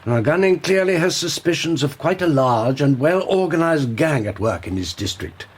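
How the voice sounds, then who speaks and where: Agency chief, husky voice, lively intonation, brisk and genial. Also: male voice, on the high side. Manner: brisk, good-humoured. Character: Victorian detective agency head, middle-aged man.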